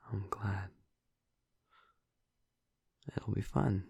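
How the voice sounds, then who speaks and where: A man playing a gentle, flirty, earnest vampire in an intimate audio roleplay, murmuring softly and hesitantly in a breathy, gravelly voice. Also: fairly low pitch, dry recording, heavily acted. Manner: soft, hesitant, halting, reassuring.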